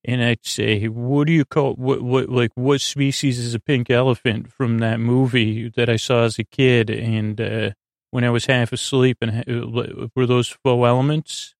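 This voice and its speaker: Male sleep-podcast host, creaky voice, wandering halting monologue, very dry close sound. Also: mid-range voice, little pitch movement, no echo. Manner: meandering, halting, absent-minded digressions. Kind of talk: rambling bedtime monologue to help listeners sleep.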